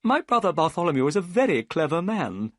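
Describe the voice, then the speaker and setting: A reader voicing a nervous, talkative gentleman who speaks with eager excitement, in a storybook reading. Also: mid-range voice, fairly quick, dry recording, clean studio sound, voice pushed up, close to an everyday voice.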